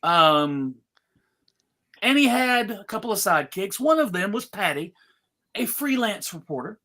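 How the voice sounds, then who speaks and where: This is a host talking casually at a live event. The pitch moves around a lot as they announce things with mock seriousness. The delivery halts and pauses between phrases. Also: big pitch swings, bright, forceful tone. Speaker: panel host and narrator.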